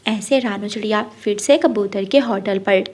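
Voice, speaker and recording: A woman's voice: medium pitch, normal speed, darkish tone. A woman reading scripted narration for a children's cartoon story.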